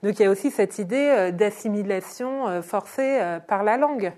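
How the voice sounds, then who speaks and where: A woman's voice: medium pitch, moderate pace, darkish tone. A woman interviewing an author, summing up his points with frequent hesitations. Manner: summarizing, hesitant fillers. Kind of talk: radio interview.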